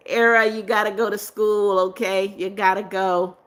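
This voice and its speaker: A middle-aged woman hosting a casual livestream, reading out viewer comments and answering them. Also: medium pitch. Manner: reads comments aloud and answers viewers warmly, laughs often. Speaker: livestream host.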